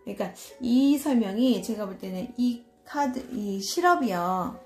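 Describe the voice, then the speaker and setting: A woman from Seoul reading and explaining tarot cards in a casual solo video, talking slowly and hesitantly with many fillers and soft laughs. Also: a little high, lively intonation, soft background music. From Seoul, South Korea. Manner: slow, hesitant, self-deprecating, frequent fillers and soft laughs. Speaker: tarot reader.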